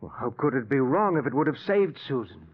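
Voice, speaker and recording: An actor playing a skeptical, anguished middle-aged father in a 1940s radio suspense drama, with theatrical, emphatic delivery that turns halting when he is shaken. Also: medium pitch, very animated, slightly rough. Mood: anguished, self-blaming. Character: skeptical, anguished father, stubborn and easily agitated. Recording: muffled vintage 1940s radio recording.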